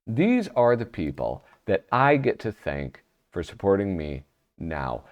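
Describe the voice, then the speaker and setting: A man's voice: deep voice, very animated, a little slow, dull audio. A man hosting his own magazine review channel, chatty and self-deprecating, sounding nasal from a cold. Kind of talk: casual solo review vlog.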